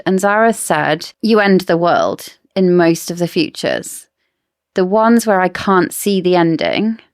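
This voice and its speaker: A woman's voice: lowish voice, no room sound, studio quality. A woman co-hosting a podcast, casually discussing books in an explanatory, speculative way. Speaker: podcast co-host.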